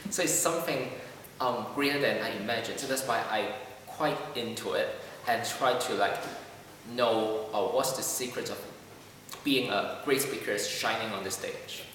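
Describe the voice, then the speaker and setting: A man speaking English as a second language, halting and full of fillers as he answers questions. He is heard from a distance in a large room with long, booming reverb and a faint background hum. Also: a bit bright. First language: not English. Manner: hesitant, many fillers and restarts. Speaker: club member. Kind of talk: casual interview. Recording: echoey hall from far off.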